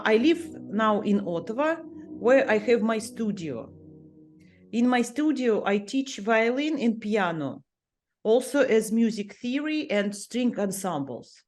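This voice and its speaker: A Russian-born woman, a violinist and music teacher, speaking English as a second language with a Russian accent, pausing often as she searches for words. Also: fairly high pitch, animated, a little mellow. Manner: hesitant, searching for English words, many pauses. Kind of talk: personal interview talk.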